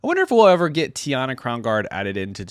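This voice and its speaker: A man's voice: medium pitch, wildly swooping pitch, fast talker, no echo, studio quality. A man hosting a reaction video, casually reading out and commenting, thinking aloud in short asides.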